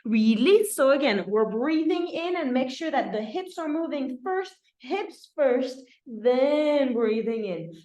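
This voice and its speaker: A woman's voice: high voice, expressive, takes it slowly, hardly any pauses, a little mellow. A woman from France with a French accent, instructing a dance class in an encouraging way and often checking in with her students.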